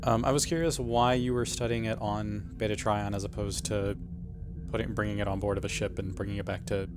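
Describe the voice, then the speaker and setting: A man's voice: fairly low pitch, flat delivery, fairly quick, noisy background. A man chatting casually as a player in a tabletop roleplaying game.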